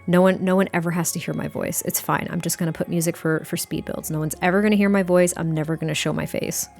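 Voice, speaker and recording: A woman in her thirties with a hoarse, gritty, raspy voice, chatting casually and self-deprecatingly in a gaming voiceover. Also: fairly low pitch, fairly even intonation, quick pace, a little mellow, faint music behind. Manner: rambling, self-deprecating, laughs often. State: hoarse from a weekend of cheering and singing. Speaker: Sims YouTuber.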